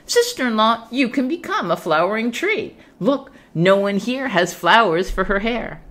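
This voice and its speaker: A spoiled young princess from a storybook as a woman narrator puts her on. She is demanding and careless, with a raised pitch and a flippant, insistent way of talking. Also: sing-song, moderate pace, a bit bright. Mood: wheedling, demanding.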